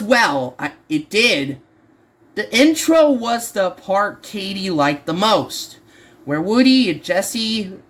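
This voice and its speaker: A man reading a horror story aloud as an amateur narrator, halting and often stumbling over and repeating words. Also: high voice, a bit bright.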